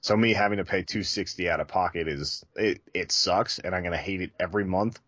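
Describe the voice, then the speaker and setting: A man's voice: low-pitched, a little fast, a bit bright, breathy edge, no room sound, clean studio sound. A man co-hosting a podcast, talking casually and candidly in a conversational, self-deprecating way. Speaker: podcast co-host.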